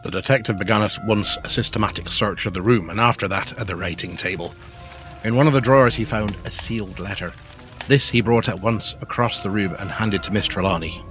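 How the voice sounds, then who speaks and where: A man acting as the formal, measured narrator of a gothic Edwardian mystery in an audio drama. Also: fairly low pitch, a bit bright, soft background music, natural voice. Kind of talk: scripted storytelling narration.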